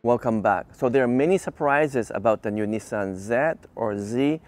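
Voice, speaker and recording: A man's voice: medium pitch, moderate pace, music in the background. A man in his fifties from Japan, an engineer reviewing cars to camera in an enthusiastic, explanatory, casual way. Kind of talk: car review to camera, semi-scripted casual talk. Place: outdoors on a quiet leafy residential street. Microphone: clip-on lavalier mic on the chest.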